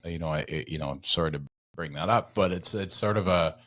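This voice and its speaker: Very deep-voiced man hosting casually. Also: fairly bright tone, dry recording. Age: middle-aged. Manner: halting, tentative, rephrases questions. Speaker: podcast host. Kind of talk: casual interview chat.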